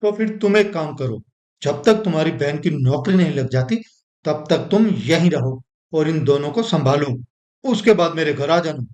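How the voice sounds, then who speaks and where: A selfish, scheming young husband from a cartoon moral tale, acted by a man. His voice is on the higher side, and he talks in a dismissive, pushy way. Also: expressive, normal speed, a little mellow, close to an everyday voice. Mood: annoyed. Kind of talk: animated moral story dialogue.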